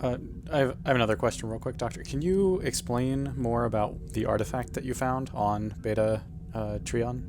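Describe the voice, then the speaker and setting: A man's voice: mid-range voice, normal speed, noisy background. A man chatting casually as a player in a tabletop roleplaying game.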